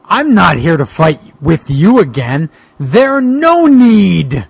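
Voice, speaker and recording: Man voicing gravelly, melodramatic monster wrestler hero. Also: high-pitched, very animated, dry recording, full character voice. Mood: strained calm. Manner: melodramatic, halting delivery. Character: earnest, indignant male monster-wrestler hero, halting.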